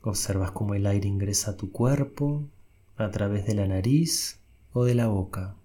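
A man leading a guided meditation, giving soft, unhurried instructions with long pauses. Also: on the low side.

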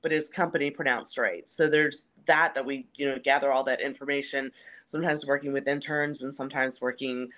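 A woman's voice: a bass voice, fairly even intonation, brisk, fairly bright tone. A woman who works as a stadium announcer, answering interview questions in a conversational, explanatory way.

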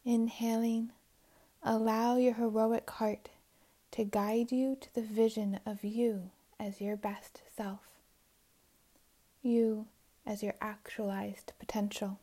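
Slow, soft-voiced woman guiding meditation. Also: fairly high pitch, level tone. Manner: soothing, unhurried, with long pauses. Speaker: meditation guide. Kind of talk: guided meditation narration.

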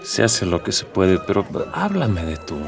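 Folksy Mexican everyman hero, animated. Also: sits low, normal speed, gravelly, distant mic, over music, full character voice. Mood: flirtatious. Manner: colloquial, hesitant, polite. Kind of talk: scripted radio drama acting.

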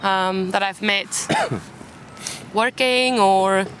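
A woman's voice: mid-range voice, extremely slow, a bit bright. A young woman from Spain with a British English accent, chatting easily as an interview guest and laughing often, recorded outdoors in a city park. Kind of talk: casual chat. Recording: outdoor street noise.

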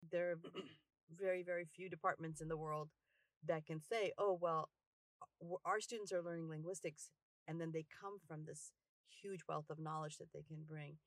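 A linguistics professor speaks in an interview, eager and fluent. His voice is a bit high and keeps to a narrow range. The recording is dry and close. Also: fairly even intonation, moderate pace, fairly bright tone, no echo. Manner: enthusiastic, flowing speech. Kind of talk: interview answers.

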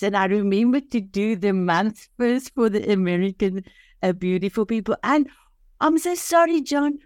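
A woman's voice: fairly high pitch, dry recording, studio quality. An older woman from South Africa, a native Afrikaans speaker with a South African accent, telling her personal story as a podcast guest in an earnest, heartfelt way with many restarts. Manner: earnest and heartfelt, with frequent restarts and simple phrasing.

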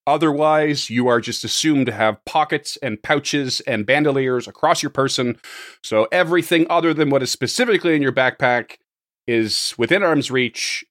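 Man, hoarse, game master, rambling, laughs often, dry sound. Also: mid-range voice, a bit bright, no room sound. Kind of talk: tabletop podcast chat.

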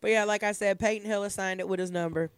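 A woman hosting a podcast, voice raspy from a sinus infection, telling crude stories in casual slang and laughing often. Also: medium pitch, steady tone, quick pace. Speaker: podcast host. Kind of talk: casual chat.

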